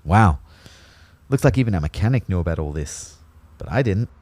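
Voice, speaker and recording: A wry, puzzled young Australian narrator telling his story in an audio drama. His voice is low, mellow and husky, with lively swings in pitch. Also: moderate pace, dry recording. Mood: wry, bemused. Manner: wry, expressive. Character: bemused young male first-person narrator, wry, puzzled. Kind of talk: scripted first-person drama narration.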